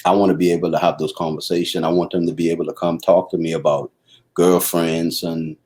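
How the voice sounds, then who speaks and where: A middle-aged man from the Bahamas with a Bahamian accent, talking casually about family and marriage, pausing and restarting often. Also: deep voice, little pitch movement, darkish tone. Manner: halting, many restarts and fillers. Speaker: husband co-host. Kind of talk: casual conversation.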